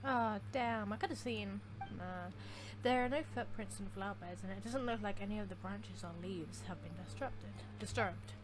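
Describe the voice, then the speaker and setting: A woman's voice: mid-range voice, normal speed. A woman giving casual, slangy, irreverent playthrough commentary and reading game dialogue aloud. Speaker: gaming video creator. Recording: clear noise and game ambience behind.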